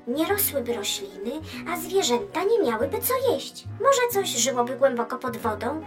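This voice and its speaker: A woman voicing a chatty, cheerful female space probe who explains science in a children's educational cartoon, teasing and lively. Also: high-pitched. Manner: bubbly, lively, playfully teasing. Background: background music.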